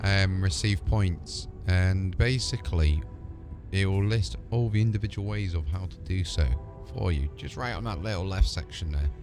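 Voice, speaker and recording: A man's voice: deep voice, normal speed, music in the background. A man livestreaming a video game with casual, chatty commentary, explaining things to viewers.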